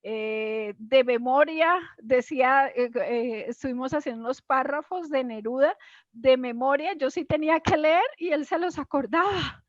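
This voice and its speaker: A woman's voice: sits high, a little slow, no room sound, lo-fi sound. A Colombian woman with a Colombian accent, hosting a casual interview in an effusive, admiring way. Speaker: host, stage performer.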